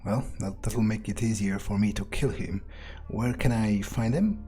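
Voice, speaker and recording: Sardonic man with a dark, mellow voice. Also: on the low side, moderate pace, faint music behind. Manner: sardonic, amused, swearing, hesitant phrasing. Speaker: gamer playing in character. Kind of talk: in-character roleplay commentary, thinking aloud.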